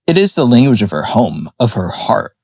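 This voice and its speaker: A man reading a scripted analysis of a song in a measured, explanatory way. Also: mid-range voice, very animated, noisy background. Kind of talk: scripted narration.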